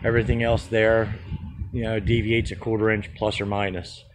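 Man, low voice, practical contractor talk, step by step, outdoors on a handheld phone, faint background noise. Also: flat delivery, normal speed. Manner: practical, explains step by step with fillers. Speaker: contractor showing a fabrication method. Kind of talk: casual how-to walkthrough. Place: backyard, outdoors.

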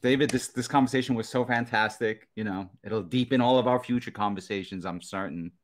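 Low-voiced middle-aged man. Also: brisk. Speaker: show host. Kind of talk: thoughtful casual interview. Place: home room indoors, video call. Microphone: video call mic.

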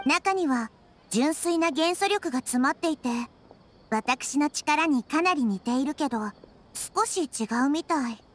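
Casting: small wise goddess for an anime game, high girlish register, composed, soft-spoken, reflective, faint ambience behind. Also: slight background noise. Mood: pensive, gentle. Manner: soft, measured, reflective, polite feminine phrasing. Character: gentle, wise child-sized goddess, composed and thoughtful. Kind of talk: Japanese anime game dub.

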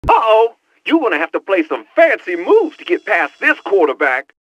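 A man's voice: sits high, wildly swooping pitch, normal speed, fairly bright tone, no room sound, muffled recording, heavily acted. An actor playing a boastful young male hacker who talks in teasing street slang over a headset in a video game. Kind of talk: video game cutscene voice acting.

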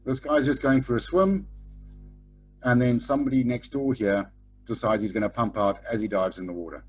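A man's voice: mid-range voice, normal speed, husky, faint music behind. A middle-aged man teaching a sailing lecture over a webcam, blunt and informal, tagging his points with "okay?". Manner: frequent "okay?" tag questions. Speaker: sailing instructor. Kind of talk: online lecture. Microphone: webcam over video call.

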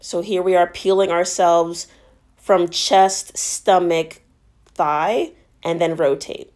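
A woman's voice: lowish voice, fairly even intonation, slow pace, darkish tone. A woman narrating a workout as a fitness instructor, explaining each move in an encouraging, instructional way.

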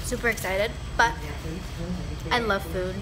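A woman's voice: mid-range voice, a little slow, a loud crowd. A young woman vlogging in a casual, chatty, gushing way, recorded on a handheld camera at arm's length. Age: young adult. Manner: gushing, excitable. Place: car, street and rooms, on the move. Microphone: phone or camera at arm's length. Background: background music.

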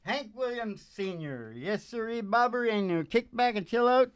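A man's voice: very high-pitched, expressive, normal speed, smooth, dry recording. A man in his sixties chatting casually and jokingly in a folksy way between songs, as a performer hosting a show. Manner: folksy, playful, jokey. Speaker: singer-guitarist and radio show host. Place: small indoor stage with curtain. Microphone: stand microphone, close.

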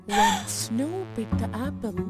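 A woman reading a scripted children's fairytale in deliberate short phrases, as a storyteller to young children. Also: high-pitched, sing-song. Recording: loud music and effects over voice.

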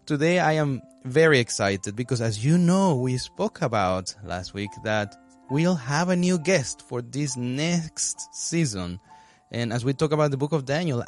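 A man hosting a podcast in a casual, conversational way. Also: mid-range voice. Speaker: podcast host.

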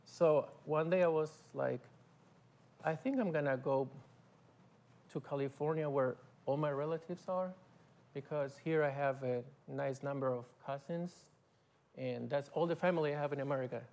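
A middle-aged man from Argentina, a guest musician, talking in a halting, casual way with fillers and restarts in a TV studio on a lapel mic. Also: fairly high pitch, gravelly, a little mellow. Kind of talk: casual interview talk. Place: TV studio set.

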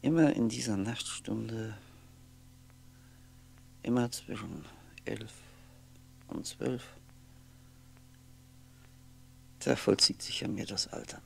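An actor playing a lonely, world-weary aging man, musing haltingly to himself in a 1960s radio drama monologue, with a gravelly voice. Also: on the low side, exaggerated voice. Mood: brooding, wry. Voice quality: gravelly, often sinking into breathy whisper. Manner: halting, brooding, fragmented musing with pauses. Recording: 1960s radio recording, faint noise.

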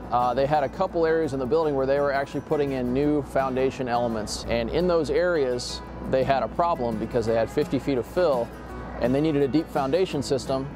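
A man of middle pitch explains a work project in an interview, talking casually and pausing with fillers. Music plays clearly behind his voice. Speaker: sales engineer.

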